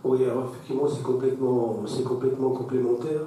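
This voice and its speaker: Very dark-voiced man in an echoey hall. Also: mid-range voice, little pitch movement, fast, a little hiss or hum. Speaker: council official answering questions. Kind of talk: spontaneous explanation in a formal meeting. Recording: very echoey hall, distant mic.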